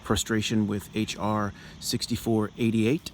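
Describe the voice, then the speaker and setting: A man speaking earnestly and deliberately as a caller to a political office, with halting, emphatic phrasing. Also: on the low side, level tone. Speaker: caller, activist in health and healing. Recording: noisy amateur recording of phone call.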